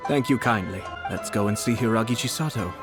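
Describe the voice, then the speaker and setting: A man voicing a young male clan head in a video game, speaking in a measured, polite and formal way. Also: lowish voice, over music, lightly acted. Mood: composed. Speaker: clan leader. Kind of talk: game voice acting.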